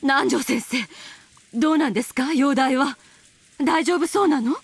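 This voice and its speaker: A woman playing a proper, anxious middle-aged lady of a well-off family, with a breathy voice. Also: sits high, quick pace, dry recording.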